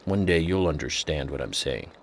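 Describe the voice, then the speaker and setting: An American man playing an eccentric, introspective federal agent in a video game, with a measured, earnest, confiding manner. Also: extremely low pitch, slight background noise. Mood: reflective. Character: eccentric, introspective male FBI agent with an imaginary friend. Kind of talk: video game cutscene dialogue.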